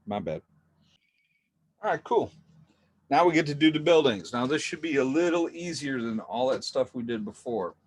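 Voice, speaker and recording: Chatty, relaxed male art teacher. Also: medium pitch, moderate pace, pauses often. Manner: relaxed, chatty, jokey asides. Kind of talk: casual drawing lesson.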